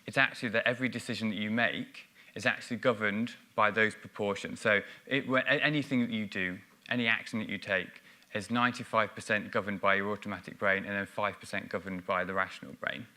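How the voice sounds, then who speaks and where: A man's voice: on the low side, steady tone, a little fast, bright, forceful tone. A man giving a business conference presentation on stage, explaining ideas with frequent ums and restarts. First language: English. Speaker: conference speaker.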